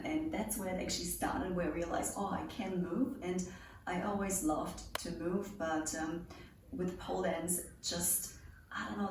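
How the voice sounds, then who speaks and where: A woman's voice: on the low side, a little mellow, distant mic. A woman in her late twenties, a pole dance instructor, chatting casually and cheerfully as she answers viewer questions and jokes with her audience. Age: 20s. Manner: chatty and upbeat, joking with viewers. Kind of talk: casual Q&A vlog. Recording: very echoey room, voice from afar, faint hiss.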